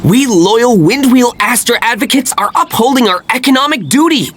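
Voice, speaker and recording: A man's voice: extremely high pitch, expressive, moderate pace, music in the background. A man playing a stubborn, opinionated young fruit vendor in a video game, talking in a pompous, emphatic way. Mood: pompous, insistent. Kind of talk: animated video game acting.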